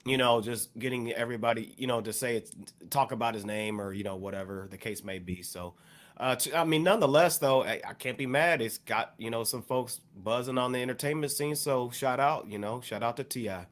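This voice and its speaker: A man co-hosting a podcast with casual music commentary, measured and halting as he qualifies his opinions. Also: medium pitch, fairly bright tone. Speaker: podcast co-host.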